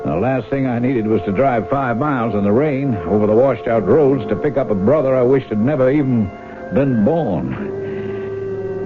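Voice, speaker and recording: An actor in a 1970s radio drama playing a resentful, lustful older brother, a rough-edged farmer with folksy speech. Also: male voice, medium pitch, lightly acted. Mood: bitter, brooding. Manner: folksy, plain rural speech. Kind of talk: 1970s radio drama acting with first-person narration. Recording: muffled vintage radio broadcast with music.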